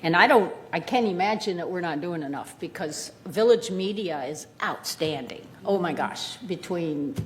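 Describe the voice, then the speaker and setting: A woman answering off the cuff as a candidate at a public meeting, speaking haltingly and searching for words. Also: fairly low pitch, animated, slight background noise. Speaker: board candidate at a community forum. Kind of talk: impromptu spoken answer at a meeting.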